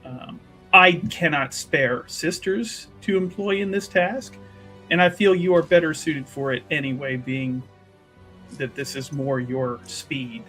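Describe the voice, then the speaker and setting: A man voicing a businesslike woman who leads a sisterhood in a tabletop game, giving formal, measured instructions. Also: a little high, sharp tone, faint music behind.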